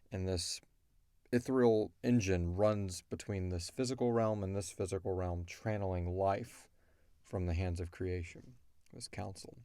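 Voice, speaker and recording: A man's voice: deep voice, a little mellow, no echo, clean studio sound. A man chatting casually as a podcast co-host, rambling and informal as he explains things. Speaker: podcast co-host and audio drama creator.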